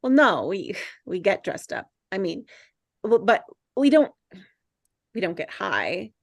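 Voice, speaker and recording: A woman's voice: mid-range voice, sing-song, a little slow, creaky, no echo, heavily acted. Woman playing an awkward, hesitant nerdy teenage boy. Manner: hesitant, rambling, stammering. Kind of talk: script table read.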